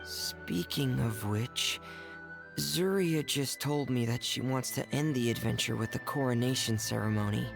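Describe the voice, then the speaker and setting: Slow, reserved, gravelly-edged boy. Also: medium pitch, over music. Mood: hesitant. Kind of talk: video game cutscene acting.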